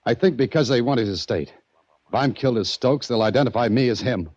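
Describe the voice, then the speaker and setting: A man's voice: mid-range voice, fairly quick, a little mellow, no echo, natural voice. An American actor playing a hunted innocent man on the run in a 1940s radio mystery, terse and desperate, with clipped hard-boiled delivery. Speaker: lead actor and first-person narrator. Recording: muffled, noisy 1940s radio recording.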